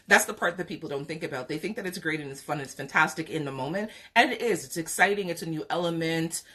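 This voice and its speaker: A woman's voice: sits low, lively intonation, fast talker, a bit bright. A woman with a Jamaican accent hosting a live podcast chat, giving frank, opinionated advice with lots of fillers. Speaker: podcast host. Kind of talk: live chat talk show, reading viewer comments.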